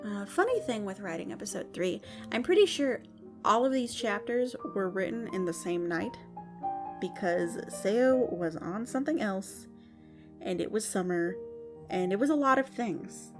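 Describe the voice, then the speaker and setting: A woman podcast host with a lively, animated voice of medium pitch. She chats playfully and casually, addressing listeners like friends, over clearly audible music. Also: unhurried. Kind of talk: casual, chatty audio drama outro. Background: prominent music.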